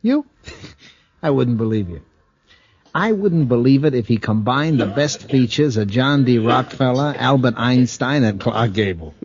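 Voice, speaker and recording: An actor playing a sly, wheedling American ex-convict blackmailer in an old-time radio drama, slangy and falsely sweet with menace underneath. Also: male voice, medium pitch, animated, measured pace, highs cut off, crowd noise. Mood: cold, pressuring. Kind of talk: 1940s radio drama acting.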